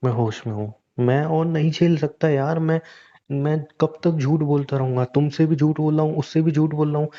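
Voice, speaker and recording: A man acting as a young, guilt-ridden husband in a relationship audio drama speaks with resigned earnestness. His delivery is fairly flat and gently bright-free, mellow and conversational, on dull lo-fi audio. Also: fairly high pitch, normal speed, lightly acted. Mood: exasperated, pleading. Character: weary, guilt-ridden cheating husband, resigned yet pleading. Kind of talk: naturalistic Hindi relationship audio drama, staged as a private conversation.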